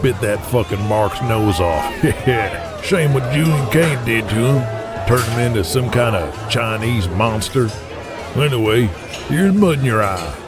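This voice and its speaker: A male actor as an older wrestling boss, folksy and foul-mouthed, holding court in an audio drama. His medium-pitched voice swaggers through colloquial talk, with music behind it. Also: lively intonation, unhurried, crowd noise, natural voice. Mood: gruff, boozy boasting.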